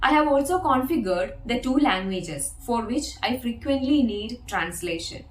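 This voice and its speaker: A woman's voice: a little high, animated, moderate pace, echoey room, a little hiss or hum, low-quality recording. A woman whose first language is Malayalam, teaching an English lesson and patiently demonstrating an app step by step. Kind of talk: video lesson.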